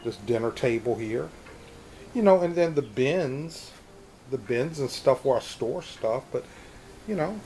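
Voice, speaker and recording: A man in his fifties with a soothing voice, vlogging casually from a hotel room, talking slowly with many restarts. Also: medium pitch, animated, warm tone, a little hiss or hum. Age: 50s. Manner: slow, repetitive, many restarts and fillers. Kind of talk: casual vlog chat. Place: extended stay hotel room.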